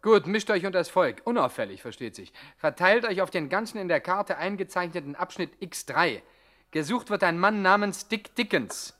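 An actor playing a brisk, ruthless police commissioner in a 1960s German radio drama, giving clipped, authoritative orders. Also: male voice, high-pitched, expressive, sharp tone. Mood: businesslike.

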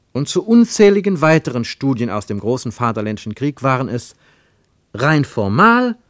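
A man's voice: mid-range voice, dry recording, studio quality. A man reading scripted literary narration for a radio play, with pointed, declamatory phrasing.

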